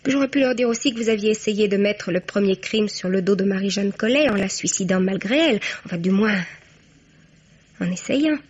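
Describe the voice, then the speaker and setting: A woman's voice: mid-range voice, brisk, slight background noise, natural voice. An actress playing a cheeky young woman blackmailer, chatty, sly and mock-innocent, in a French radio crime drama. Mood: taunting, insinuating. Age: young adult. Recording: dull, band-limited radio sound.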